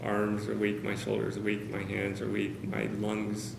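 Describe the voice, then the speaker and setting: A man speaking candidly to a meeting of caregivers, hesitant, with many pauses and fillers. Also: lowish voice, completely flat delivery, creaky. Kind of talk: personal talk to a small group. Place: meeting room with a group of about 45 people. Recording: roomy, noisy meeting-room recording.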